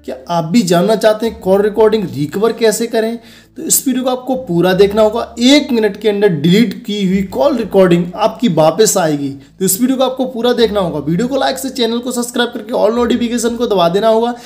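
A young man with a noticeably high voice narrates a casual tech tutorial. He talks straight to the viewer in an instructional way, going step by step and often checking that it is understood. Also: fairly quick, darkish tone. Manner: instructional, addressing viewers directly, with repeated check-in tags. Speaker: host of a phone tutorial channel.

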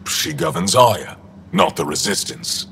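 An actor playing a gruff, war-hardened alien resistance leader in a video game, terse, dry and cynical with a gravelly voice. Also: male voice, deep voice, expressive, unhurried, fairly bright tone, a little hiss or hum. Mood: dry, guarded. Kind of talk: video game dialogue acting.

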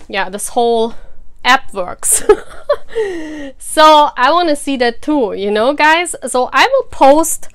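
A woman whose first language is German chats easily to her followers before a tarot reading, laughing often. Her voice sits a little high and sounds smooth and clean. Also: expressive, slow. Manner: chatty, laughs often, warm encouragement to fans. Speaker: tarot reader. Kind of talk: casual chat to camera.

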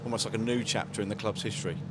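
Guy doing a club interview outside, lowish voice, traffic noise clear behind. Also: steady tone, racing. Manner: brief, friendly, lots of agreeing. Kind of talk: post-match interview. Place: stadium dugout, outdoors.

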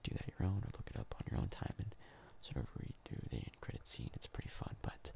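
Casting: young male ASMR gamer, hushed whisper with heavy vocal fry, laid-back rambling delivery. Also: sits low, sing-song, fast talker. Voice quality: breathy, whispered, crackly. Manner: casual, rambling, hesitant. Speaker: ASMR gaming YouTuber. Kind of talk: soft-spoken ASMR game commentary.

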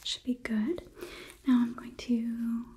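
A woman in her twenties playing a condescending, clinical female robot overlord in a soft whispered ASMR roleplay. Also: on the high side, level tone, slow, slight background noise. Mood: focused, soothing. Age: 20s. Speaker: ASMR performer. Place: small indoor studio set. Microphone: close microphone near camera.

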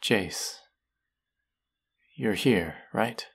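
Man acting a gravelly, low-voiced vengeful fantasy villain. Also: heavily acted. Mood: grieving, solemn. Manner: menacing, sardonic, slow and deliberate. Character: vengeful, bitter male fantasy villain, menacing and grieving. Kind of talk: dramatic audio roleplay acting addressed to the listener.

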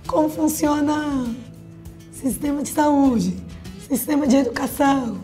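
A woman's voice: sits high, unhurried, warm tone, faint music behind. A woman in her thirties from Hunan with a Chinese accent, a native Mandarin speaker talking casually in short, simple phrases. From Hunan, China. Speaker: YouTube creator. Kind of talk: casual interview talk. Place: small office studio.

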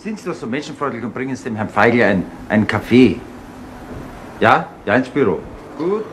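An actor playing a chummy, talkative police colleague in a 1970s radio crime play. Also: male voice, medium pitch, expressive, moderate pace, natural voice. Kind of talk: 1970s German radio crime drama acting. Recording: faintly noisy vintage radio play recording.

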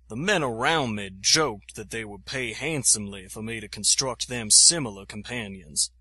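A man's voice: mid-range voice, pushed and bright, no room sound. An actor playing a proud, inventive army officer who recounts his work formally in an audio-drama journal. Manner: formal, measured, old-fashioned wording.

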